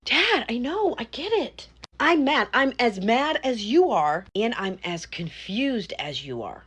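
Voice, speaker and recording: A woman's voice: fairly high pitch, huge pitch swings, measured pace, fairly bright tone, husky, voice pushed up, heavily acted. A woman voicing a scheming, evasive young adult daughter who talks in valley-girl fillers. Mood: defensive.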